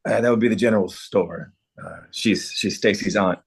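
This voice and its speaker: A man playing a helpful, hesitant small-town guy with a husky voice in a drama table read. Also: on the low side, normal speed. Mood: concerned, helpful.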